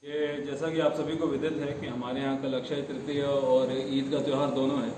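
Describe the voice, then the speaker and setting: Flat-toned male official in an echoey, noisy recording. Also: medium pitch, fairly quick. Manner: officialese mixed with English terms. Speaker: police or administration official. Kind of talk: formal statement to press. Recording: echoey, distant mic, noisy ambience.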